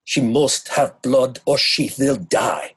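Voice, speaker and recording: An actor playing a grave, elderly foreign professor in a horror radio play. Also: male voice, medium pitch, lively intonation, slow pace, husky, full character voice. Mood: grave concern. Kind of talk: dramatic horror radio acting.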